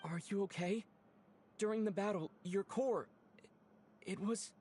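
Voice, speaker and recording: A woman playing an earnest, gentle heroic traveler in a video game, speaking sincerely. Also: mid-range voice, a little slow, breathy edge. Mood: concerned.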